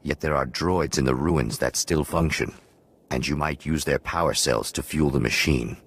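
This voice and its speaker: Very deep-voiced, solemn hermit sage. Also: male voice, a bit bright, breathy edge, full character voice. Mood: calm, grave. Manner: measured, formal, philosophical. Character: solemn male hermit Jedi watchman, calm, penitent, cryptic. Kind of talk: video game voice acting.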